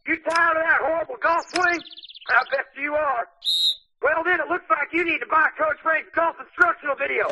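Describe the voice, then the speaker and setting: A man playing a brash, folksy, crude golf coach delivering a pushy parody infomercial sales pitch. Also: normal speed, sharp tone, exaggerated voice. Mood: pushy, boastful. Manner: pushy, hard-selling, folksy. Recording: dull lo-fi audio with clear noise.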